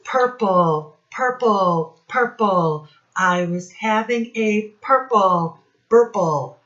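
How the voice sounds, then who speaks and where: Very slow-paced woman teaching little children. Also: mid-range voice, fairly bright tone, reverberant. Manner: simple questions with deliberate repetition. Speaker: children's teacher and read-aloud host. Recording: dull, lo-fi sound.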